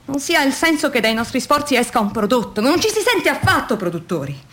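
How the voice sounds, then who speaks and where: A woman's voice: high-pitched, big pitch swings, a little fast, fairly bright tone, exaggerated voice. An actress playing a weary, demoralized young woman factory worker in an introspective, theatrical radio drama monologue. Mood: disillusioned, weary. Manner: introspective, theatrical, shifting between murmurs and emphatic phrases.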